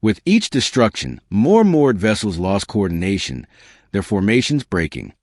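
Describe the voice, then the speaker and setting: A man reading a scripted science fiction story as an audiobook narrator, in a measured, dramatic storytelling delivery. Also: fairly low pitch, very animated, darkish tone, dry recording, studio quality.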